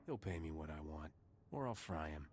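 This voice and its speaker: An actor playing a cocky, flirtatious mercenary smuggler captain in a video game, with a wry, swaggering delivery. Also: male voice, a bass voice, lively intonation, normal speed, a little mellow, slight background noise. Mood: menacing.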